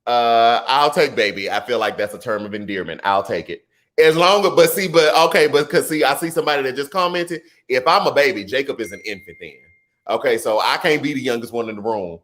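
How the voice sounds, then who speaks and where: A man in his thirties hosting a live political commentary stream, chatty and animated, reading viewer comments and riffing between stories. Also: mid-range voice, a little fast. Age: 30s. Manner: animated and conversational, drifts into chatty asides and laughs easily. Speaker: political talk show host.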